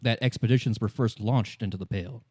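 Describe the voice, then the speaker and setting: A man narrating as game master, explaining fictional lore in a measured, solemn and deliberate storytelling manner. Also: on the low side, a little mellow, no room sound, studio quality. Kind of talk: improvised lore exposition in a tabletop game.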